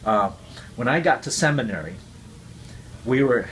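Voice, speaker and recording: A man's voice: mid-range voice, animated, moderate pace, a bit bright, slight background noise, low-quality recording. A man with Cantonese roots giving an earnest, casual explanation as a guest speaker, often repeating words. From Chinese heritage, raised with Cantonese.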